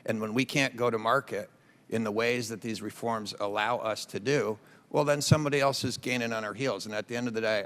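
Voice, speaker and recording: A man giving formal testimony as an aviation industry witness, in measured, articulate phrases. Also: on the low side, fairly bright tone. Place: large indoor committee hearing room. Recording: reverberant hearing room.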